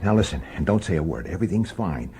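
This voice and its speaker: An actor playing an irritable, sardonic hard-boiled police detective in a radio drama, gruff and impatient. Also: male voice, low voice, animated, fast, warm tone, slight background noise. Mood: tense, reassuring. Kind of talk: old-time radio drama acting and narration.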